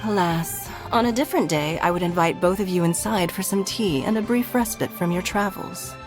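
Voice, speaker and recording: An actress voicing a composed, courteous woman who leads a city, speaking in a formal, elegant, measured way. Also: fairly low pitch, over music, close to an everyday voice. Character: poised, courteous adult woman leader of a city. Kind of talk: video game voice acting.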